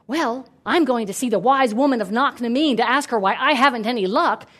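A woman's voice: a little high, fairly quick, natural voice. A woman voicing a cheerful, kindly young man on a journey, in a friendly, emphatic manner. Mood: friendly, curious. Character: cheerful, kindly, eager young male traveler.

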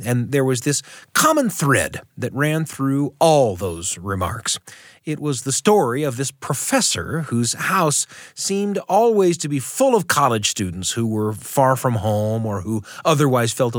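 A man hosting a radio show, telling personal stories in a reflective, unhurried way into a close studio microphone. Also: medium pitch, no room sound. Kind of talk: warm personal storytelling and studio chat.

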